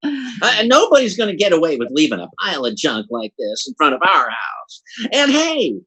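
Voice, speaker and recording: Man playing a blustering, animated radio-comedy husband. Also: on the high side, moderate pace, husky, heavily acted, poor audio. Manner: stammering, folksy, slangy. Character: blustering, grumbling, comically indignant husband. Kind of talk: 1940s radio comedy acting.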